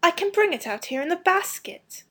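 A woman's voice: very high voice, lively intonation, moderate pace, a bit bright, voice pushed up. A woman reading a storybook, voicing a sly, practical young boy with an offhand manner.

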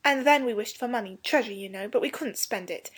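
Woman voicing an eager, high-pitched schoolboy. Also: brisk, husky. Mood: earnest. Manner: earnest, boyish slang, stumbling over words. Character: eager, hasty young English schoolboy, flustered but courteous. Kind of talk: storybook character dialogue.

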